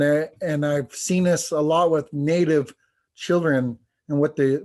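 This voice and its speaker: A man speaking as a language teacher on a panel, giving a presentation in an earnest, informal and somewhat halting way. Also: on the high side, darkish tone. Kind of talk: presentation with testimonials read aloud.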